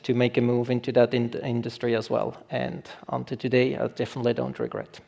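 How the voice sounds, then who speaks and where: A man with a medium-pitched voice. He talks conversationally as a chief executive introducing himself on a panel. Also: level tone, a little fast, creaky, dark tone. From Switzerland.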